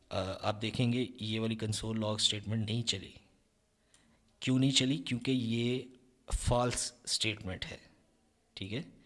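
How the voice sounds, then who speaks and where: A young man explaining programming step by step in a relaxed screen-recorded lesson, often checking in with listeners. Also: mid-range voice. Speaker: programming tutor.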